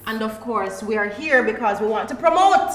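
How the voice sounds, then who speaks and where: A woman's voice: on the high side, lively intonation, normal speed, a crowd in the background. A woman with a Jamaican accent chatting casually and playfully as a podcast host. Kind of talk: casual chat. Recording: roomy echo, faint music behind.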